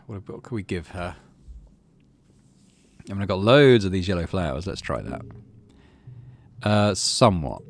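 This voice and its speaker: A man's voice: low-pitched, a little hiss or hum. A middle-aged man giving casual game commentary, muttering drily to himself and reading bits of narration aloud. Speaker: gaming channel host.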